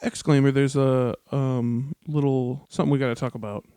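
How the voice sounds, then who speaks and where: A man's voice: medium pitch, steady tone, moderate pace, dark tone, smooth, no room sound. A man hosting a casual fan podcast, talking in an informal, jokey way. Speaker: podcast host.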